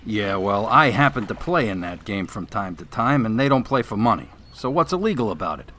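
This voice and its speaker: A gruff, animated police officer. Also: male voice, fairly low pitch, a little fast, slight background noise. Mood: weary, skeptical. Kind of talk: radio drama acting.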